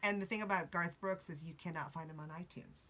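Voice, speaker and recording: A woman's voice: on the low side, steady tone, a little fast, fairly bright tone, slight background noise. A woman in her forties hosting a podcast, chatting casually, laughing often and wandering off into tangents. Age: 40s. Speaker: podcast host. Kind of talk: casual chat. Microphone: podcast mic, video recorded.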